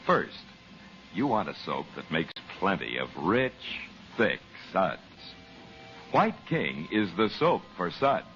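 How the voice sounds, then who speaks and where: A man with an American accent announcing and narrating a 1940s radio serial in a theatrical, deliberate scripted style. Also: low-pitched, very animated, a bit bright. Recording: muffled 1940s radio broadcast. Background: music.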